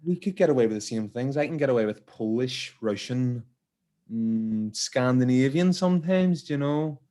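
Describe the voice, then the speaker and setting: A man's voice: medium pitch, expressive, normal speed, warm tone. An Irish man with an Irish accent hosting an interview. He talks in an easygoing, chatty way and adds light asides. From Ireland. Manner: casual, laughs easily, chatty asides. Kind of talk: conversational interview.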